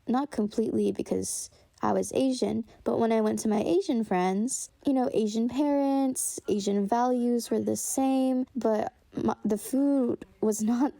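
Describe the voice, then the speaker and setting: A young woman talks through her memories in a reflective, wistful way. She often pauses, says "um" and "like", and restarts her sentences. Her mellow voice has a gravelly fry, and the recording is close and dry. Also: on the high side, no echo. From United States. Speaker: storyteller sharing her family history. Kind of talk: unscripted personal reflection.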